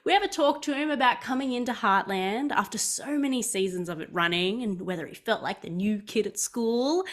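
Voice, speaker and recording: A young woman hosting a casual interview over a video call, chatty and quick to laugh. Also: fairly high pitch. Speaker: host.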